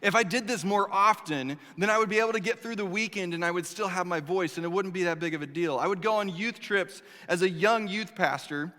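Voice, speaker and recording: A man in his thirties preaching a sermon as pastor, telling a personal story in an animated, conversational way with acted asides. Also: high-pitched, brisk, hardly any pauses, big-room sound. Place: church sanctuary.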